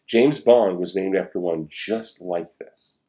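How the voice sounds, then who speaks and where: A man's voice: low voice, slow pace, mellow. A man reading the storybook dialogue of a firm, logical, know-it-all schoolgirl spy in a matter-of-fact way. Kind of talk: storybook read-aloud dialogue.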